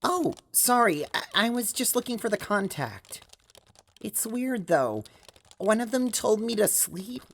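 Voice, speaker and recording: A woman as an earnest teenage girl, lively pitch. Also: exaggerated voice. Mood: uneasy, hesitant. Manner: halting, casual, blunt when annoyed. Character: earnest teenage girl, hesitant but feisty. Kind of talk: amateur audio drama acting.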